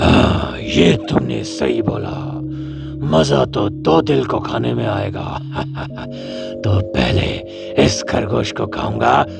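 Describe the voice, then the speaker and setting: Slow, gravelly, menacing lion. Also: male voice, wildly swooping pitch, exaggerated voice. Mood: pleased, menacing. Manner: slow, drawn-out, commanding speech with swooping pitch. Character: menacing, gloating lion hunting for a meal. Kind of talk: children's cartoon story acting. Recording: music behind the voice. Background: background music.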